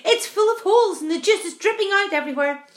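A woman's voice: extremely high pitch, lively intonation, fast, reverberant, pitched above the performer's own voice. A woman voicing a dramatic old male grasshopper in a storybook, exclaiming and wailing in alarm. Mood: alarmed shouting.

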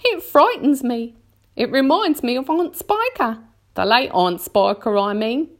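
A woman voicing an anxious, melodramatic female spider from a storybook, mournful and drawn-out in her delivery. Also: extremely high pitch, pitched above the performer's own voice, heavily acted. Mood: anxious, distressed. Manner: dramatic, drawn-out, lamenting delivery.

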